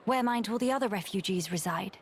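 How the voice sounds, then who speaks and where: A woman's voice: medium pitch, slight background noise, close to an everyday voice. Woman as a dignified, reserved young empress. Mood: composed, questioning. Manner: regal, formal, measured phrasing. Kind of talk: video game voice acting.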